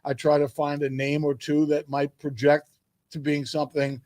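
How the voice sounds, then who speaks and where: An older man from New York reminiscing as an interview guest, speaking in a measured way with emphatic phrasing. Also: on the high side, flat delivery, a little mellow, no room sound, clean studio sound. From New York, United States. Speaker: guest, sports public address announcer.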